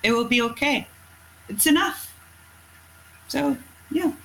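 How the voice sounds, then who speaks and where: Bright-voiced Norwegian woman, candid storyteller. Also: high-pitched, slow pace, creaky, a little hiss or hum, poor audio. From Norway. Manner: candid, storytelling, blunt with mild cursing. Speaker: guest, coastal fisherman. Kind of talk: casual interview chat.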